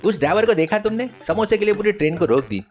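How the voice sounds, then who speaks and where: A man voicing a loud, boastful, gullible village man in a comic story, ranting and exclaiming with self-importance. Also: high-pitched, expressive, fast talker, soft background music, voice pushed up. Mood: indignant.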